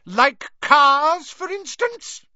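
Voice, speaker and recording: Scolding middle-aged mother, shrill and loud; male reader doing the voice. Dull, dry sound. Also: very animated, extremely slow, no echo, heavily acted. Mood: accusing. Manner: shrill, shouting, furious.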